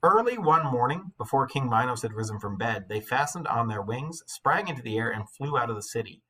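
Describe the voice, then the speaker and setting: A man with a bright, forceful voice and a husky edge, reading and explaining a story like a high school English teacher. His phrasing is dramatic and emphatic, at a medium pitch. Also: expressive, fairly quick, poor audio. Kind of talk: expressive story read-aloud with teaching asides.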